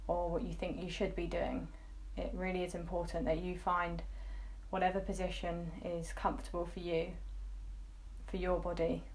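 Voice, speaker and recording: A woman leading a guided meditation, giving soft instructions in gently coaxing phrases with pauses. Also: fairly low pitch, poor audio.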